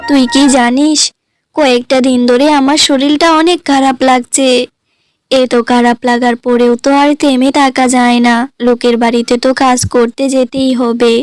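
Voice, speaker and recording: A woman's voice: sits high, little pitch movement, moderate pace, clean tone, no room sound, natural voice. A woman voicing a poor, frail old grandmother who scolds and worries over her granddaughter in a cartoon. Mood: tender worried. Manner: scolding, weary, protective. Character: poor frail old grandmother, loving, bitter at son-in-law. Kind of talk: cartoon voice acting. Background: music.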